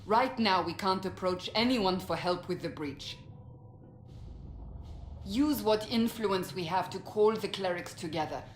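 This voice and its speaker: A woman's voice: mid-range voice, a little slow, a little hiss or hum, close to an everyday voice. An actress playing a blunt, commanding female warrior in a game. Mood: firm. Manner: firm and commanding. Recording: echoey game audio with music.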